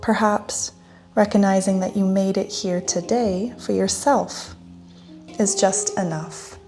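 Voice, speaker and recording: A woman leading a guided meditation in a gentle, soothing manner with long pauses. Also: medium pitch, steady tone. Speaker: meditation guide, yoga teacher. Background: soft instrumental music.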